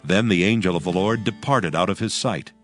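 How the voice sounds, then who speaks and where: Male scripture narrator: lowish, measured, formal reading over faint music.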